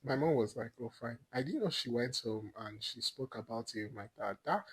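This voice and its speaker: Husky young Nigerian man with an Igbo accent. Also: mid-range voice, moderate pace. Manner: rambling, storytelling, laughs often. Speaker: podcast guest. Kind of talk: casual chat.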